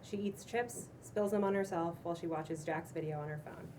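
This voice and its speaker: A woman reads script directions aloud in a plain, measured way. Her voice is slightly low, captured from a distance with clear room noise. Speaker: stage directions reader. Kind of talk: reading screenplay directions aloud. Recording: distant mic, noisy room.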